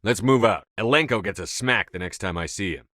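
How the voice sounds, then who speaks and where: Low-voiced, commanding space soldier. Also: male voice, brisk, pushed and bright. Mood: weary, brusque. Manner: terse, authoritative, controlled. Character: stern, commanding male space soldier hero, adult. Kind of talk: video game dialogue acting.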